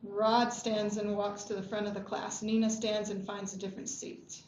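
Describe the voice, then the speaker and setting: A woman's voice: mid-range voice, level tone, normal speed, darkish tone. A woman narrator at a table read, reading scripted stage directions. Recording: dull, echoey room with background noise.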